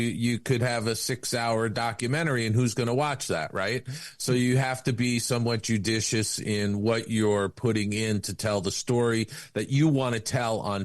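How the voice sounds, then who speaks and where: A man's voice: mid-range voice, no room sound. Podcast host man with a dry sound. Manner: conversational, frames questions for guests. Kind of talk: casual interview chat.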